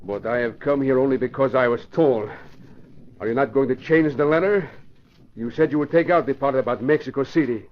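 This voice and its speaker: A man's voice: mid-range voice, a little fast, natural voice. A man acting a grumbling hired killer in an old radio crime drama, formal in phrasing. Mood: sullen. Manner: formal uncontracted speech, complaining. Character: sullen, grumbling foreign henchman, a hired killer. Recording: muffled, hissy old radio recording.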